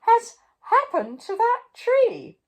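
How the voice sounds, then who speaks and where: A woman voicing a grand, excitable storybook king who proclaims things pompously. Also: very high voice, slow pace, pitched above the performer's own voice, exaggerated voice. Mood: astonished.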